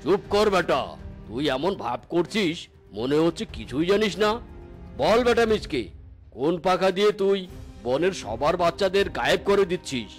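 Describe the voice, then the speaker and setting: A man's voice: sits high, animated, normal speed, faint music behind. A man voicing an angry, bullying animal village headman in a cartoon, accusing and commanding. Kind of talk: cartoon story voice acting.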